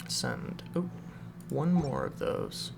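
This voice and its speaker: Male streamer for casual gameplay commentary, unhurried and creaky, musing aloud over game music. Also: medium pitch, huge pitch swings. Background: loud game music.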